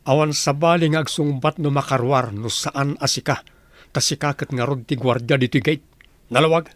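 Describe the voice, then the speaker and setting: A man's voice: mid-range voice, moderate pace, no room sound, lightly acted. A man playing a stern, bossy mansion master in an Ilocano comedy radio drama, commanding and scolding with an Ilocano accent. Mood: threatening, commanding.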